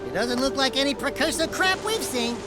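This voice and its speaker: High whiny brash animal sidekick, super animated cartoon game voice. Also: male voice, fairly quick. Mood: mouthy, teasing. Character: wisecracking, cowardly small male furry animal sidekick. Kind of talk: animated video game voice acting. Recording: loud music underneath.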